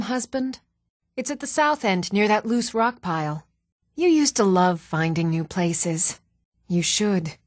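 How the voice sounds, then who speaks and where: An actress playing a plain-spoken, friendly young village wife in a fantasy video game, conversational and sincere. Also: female voice, medium pitch, darkish tone, no echo, clean studio sound.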